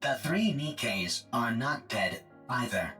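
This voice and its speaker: An actor playing a calm, emotionless male combat support robot who speaks in flat, precise, formal phrases. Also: medium pitch, slow pace, soft background music, heavily acted. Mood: measured.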